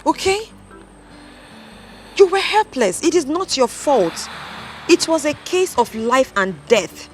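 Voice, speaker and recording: An actress playing a gentle woman who reassures someone softly. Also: high voice, sing-song, slow pace, pauses often, faint music behind, full character voice. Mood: soothing. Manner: soothing, reassuring. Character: gentle comforting older woman.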